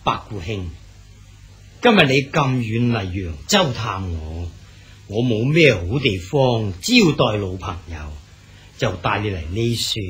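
Official host guy from a cantonese radio play, slow sighing talk, lively pitch. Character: official hosting old friend, man, regretful. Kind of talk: Cantonese radio drama acting.

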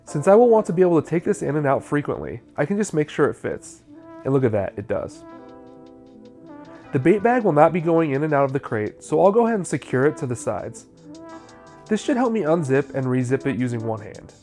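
A man's voice: fairly high pitch, very animated, quick pace, warm tone, soft background music. A man, narrating a how-to video in a scripted, explanatory style.

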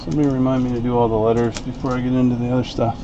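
A man hosting a live card break, calling out each card in an unhurried, chatty way. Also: medium pitch, flat delivery, warm tone, highs cut off, slight background noise. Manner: unhurried, chatty play-by-play while opening packs. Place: home card-break room, indoors.

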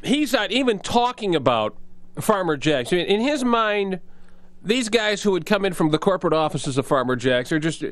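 A man with a high-pitched voice in a dry, close studio sound. He hosts talk radio and delivers commentary in a mocking, sarcastic way. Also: big pitch swings, moderate pace, a bit bright, no echo.

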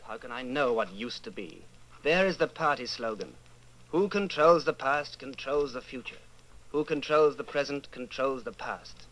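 A man's voice: mid-range voice, animated, normal speed, a bit bright, natural voice, low-quality recording. An actor playing an earnest, troubled, solitary man in an old-time radio style drama. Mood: brooding. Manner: earnest, brooding.

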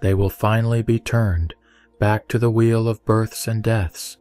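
A man giving a steady, even reading of a Buddhist scripture. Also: fairly low pitch, unhurried, clean tone. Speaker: sutra reciter. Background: soft background music.

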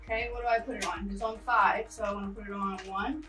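A woman's voice: medium pitch, steady tone, fast talker, bright, forceful tone, soft background music. A woman chatting casually and teasing her partner with questions while they work on plumbing at home. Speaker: vlogger helping with a fish room plumbing job. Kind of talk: casual chat while working.

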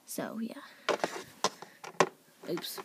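A woman's voice: drawn-out words, noisy background. A woman casually introducing characters off-camera, rambling with lots of "like" and "um" and correcting herself as she goes. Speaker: channel host presenting toy characters for voice auditions. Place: indoors at home, toys on a table. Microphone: phone or camera mic, speaker off-camera.

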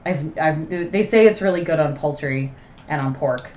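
A woman's voice: low-pitched, moderate pace, a little mellow, lo-fi sound, slight background noise. A woman in her thirties co-hosting a casual livestream chat from a small room, chatty and upbeat. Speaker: co-host.

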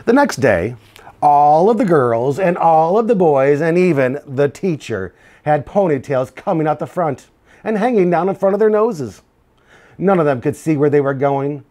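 A middle-aged man reading a children's storybook aloud in an expressive, deliberate way with dramatic pauses. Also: fairly high pitch. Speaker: school administrator reading aloud. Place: small indoor office. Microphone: lapel mic.

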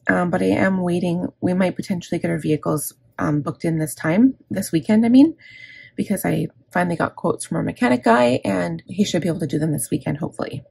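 Woman, middle-aged planner vlogger, gravelly and husky throat, candid rambling chat with self-corrections. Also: lowish voice, brisk, darkish tone, dry recording. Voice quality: gravelly, scratchy. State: scratchy, gravelly throat from inhaler. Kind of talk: casual plan-with-me chat. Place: indoors at a desk.

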